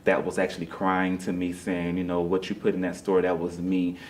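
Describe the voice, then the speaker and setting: A man with a very low voice speaks as an interview guest. He tells his story earnestly and casually, doubling back on his words as he goes. There is some noise behind him. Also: completely flat delivery, fairly quick. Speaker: interview guest, playwright and director.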